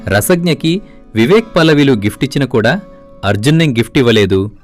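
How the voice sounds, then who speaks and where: A man's voice: mid-range voice, lively intonation, brisk, soft background music. A man reading scripted storybook narration.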